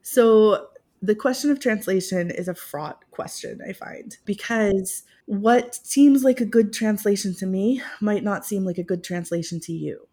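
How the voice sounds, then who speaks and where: A woman's voice: medium pitch, a little slow, dark tone. A woman talking about her work as a professor in a casual, self-deprecating way, laughing now and then. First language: English. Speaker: guest, Russian literature professor. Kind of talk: conversational interview.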